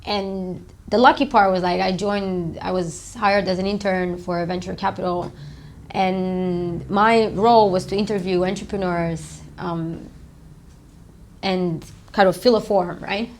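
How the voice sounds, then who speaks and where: A woman's voice: lowish voice, steady tone, measured pace, a little hiss or hum. Chatty woman from Romania, lots of fillers. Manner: rambling, many fillers like "um" and "like". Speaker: startup founder and CEO. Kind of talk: casual interview answers. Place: office.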